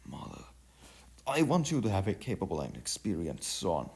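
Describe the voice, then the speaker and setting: A man's voice: low voice, huge pitch swings, normal speed, darkish tone, husky, exaggerated voice. Man playing a proud, insistent young village hunter. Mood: defensive. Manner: insistent, argumentative. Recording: music behind the voice.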